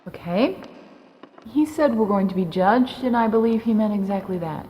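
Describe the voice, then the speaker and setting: An actress playing a level-headed, cautious woman versed in ancient Egypt, in a measured, ominous audio drama style. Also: medium pitch, lo-fi sound, slight background noise, natural voice. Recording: echoey stone chamber reverb.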